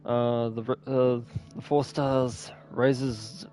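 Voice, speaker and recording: Slow-paced man casually rambling about gaming. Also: medium pitch, flat delivery, mellow, soft background music. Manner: rambling, offhand. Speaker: gaming video host. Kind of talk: casual unscripted gameplay commentary.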